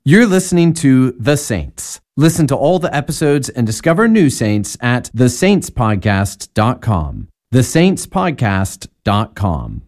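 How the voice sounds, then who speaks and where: A male announcer reading a short podcast promo, his voice lively and sliding. He sounds set back from the mic, with faint guitar music behind him. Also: medium pitch, measured pace, few pauses.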